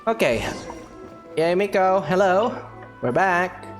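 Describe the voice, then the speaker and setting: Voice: medium pitch, lively intonation, takes it slowly, faint music behind. A streamer giving casual commentary over a game playthrough, reacting aloud in a playful way.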